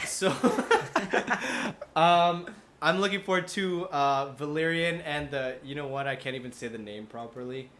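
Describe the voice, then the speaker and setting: A man's voice: mid-range voice, fairly bright tone. A man hosting a casual film podcast, chatting in a jokey, playful way. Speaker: podcast host. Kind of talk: casual chat about films. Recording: roomy echo, faint background noise.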